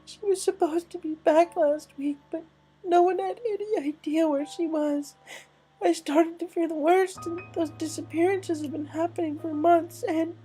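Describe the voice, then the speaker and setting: A woman's voice: very high-pitched, steady tone, moderate pace, mellow, faint music behind, rough recording. A woman voicing a grieving, anxious young female pony in a story, with a shaky, tearful, hesitant voice. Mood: distraught. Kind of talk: dramatic fan-fiction story reading.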